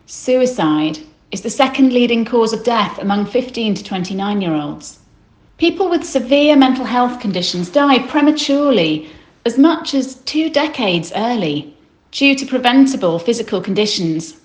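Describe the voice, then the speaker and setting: Female consultant, formal scripted read, mid pitch, echoey room. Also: moderate pace. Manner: steady, formal read-out delivery. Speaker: senior consultant presenting a publication. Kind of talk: scripted presentation. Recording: roomy echo.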